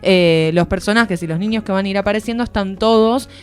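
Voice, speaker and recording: A woman's voice: mid-range voice, fairly quick, darkish tone. A woman with an Argentine accent giving an informal, explanatory book review as a columnist on a radio show. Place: radio studio. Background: music.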